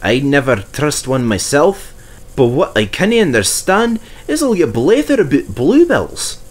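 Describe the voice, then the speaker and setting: A man voicing a gruff but warm-hearted male engine with a Scottish accent, blunt and emphatic, in a storybook. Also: mid-range voice, wildly swooping pitch, a little slow, pitched above the performer's own voice. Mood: blunt, sceptical.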